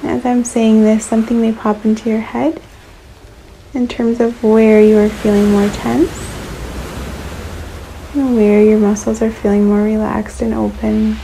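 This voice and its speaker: A woman leading a guided relaxation meditation in a soothing, unhurried manner, leaving long pauses between short phrases. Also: on the high side, level tone, creaky, warm tone, slight background noise, low-quality recording.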